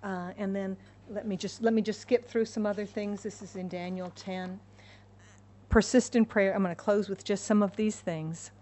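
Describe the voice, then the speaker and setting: A woman's voice: mid-range voice, steady tone, moderate pace, dark tone. Emphatic woman preaching a sermon. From United States. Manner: emphatic, repeats key phrases. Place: church in Hong Kong.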